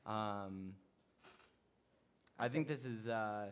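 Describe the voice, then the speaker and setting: Male moderator, lowish voice, casual and a bit tentative. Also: extremely slow. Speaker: panel moderator. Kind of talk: moderating a panel.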